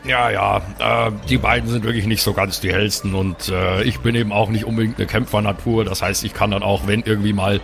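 Tabletop audio drama: anxious, protective adventurer played by a man, fry in the voice, rambling and unsure, music behind. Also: lowish voice, fairly even intonation, a little fast, few pauses, fairly bright tone. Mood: worried, rueful. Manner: hesitant, apologetic, rambling. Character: hesitant, worried male adventurer, fatherly to his brothers.

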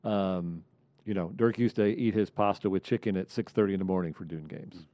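A man's voice: sits low, fairly quick, dark tone, no room sound. A man hosting a sports roundtable in a conversational way. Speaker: host of a sports media roundtable.

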